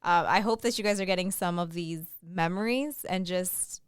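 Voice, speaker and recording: A young woman co-hosting a podcast, talking earnestly and haltingly with many fillers. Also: fairly low pitch, smooth, no echo, clean studio sound. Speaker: podcast co-host. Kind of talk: casual chat.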